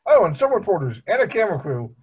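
A man voicing a dim, childish middle-aged cartoon husband with a husky voice, in an amateur table read. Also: high-pitched, big pitch swings, fast, a little mellow, lo-fi sound, exaggerated voice. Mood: cheerful. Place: home room, on a video call.

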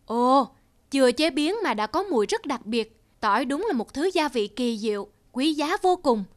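A woman's voice: sits high, lively intonation, normal speed. A woman voicing a grand, curious, easily delighted middle-aged king in a cartoon fairy tale, with pompous, exclamatory delivery. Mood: impressed.

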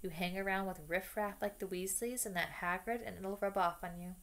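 A woman's voice: medium pitch, steady tone, normal speed, a bit bright, close to an everyday voice. Sneering snobbish schoolboy voiced by a woman, drawling, taunting, storybook reading.

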